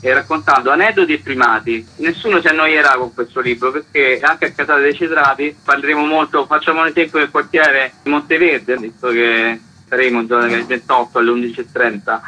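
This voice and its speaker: A man's voice: medium pitch, steady tone, brisk, sharp tone, highs cut off. A man, talking at length and with digressions as an author in an informal interview.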